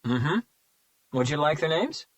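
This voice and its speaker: Man putting on a gloating killer, raised pitch, mocking, dry close sound, audiobook. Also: big pitch swings, no room sound. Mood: smug, taunting. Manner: mocking, slow and savoring. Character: smug, taunting male serial killer.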